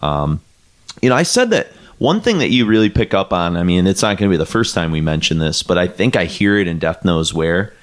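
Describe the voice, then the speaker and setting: A very low-pitched man talks through a music podcast in a loose, rambling way. He leans on "like" and "you know," stops and restarts, then settles into a thought. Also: very animated, a little fast. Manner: rambling, many fillers and restarts; reads quotes aloud. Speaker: podcast co-host. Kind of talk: casual chat about music.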